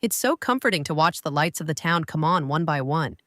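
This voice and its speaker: An actress playing a gracious older woman, a grandmother and retired lawyer, talking in a measured, welcoming way. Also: deep voice, expressive, a bit bright, dry recording, clean studio sound. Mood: calm. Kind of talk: audio drama acting.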